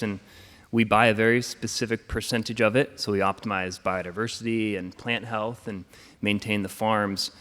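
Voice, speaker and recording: Male panelist, relaxed, filler-heavy, reverberant hall. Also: lowish voice. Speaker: panelist, company founder. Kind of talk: panel talk.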